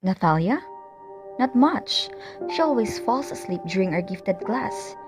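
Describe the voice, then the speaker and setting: A woman's voice: medium pitch, expressive, measured pace, over music, lightly acted. A woman playing a friendly girl student who never sleeps, chatty in manner.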